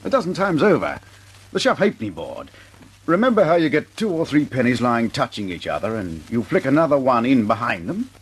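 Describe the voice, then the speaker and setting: A man's voice: mid-range voice, wildly swooping pitch, a little fast, husky, low-quality recording. An actor playing a friendly, easygoing male test pilot in a 1940s radio drama.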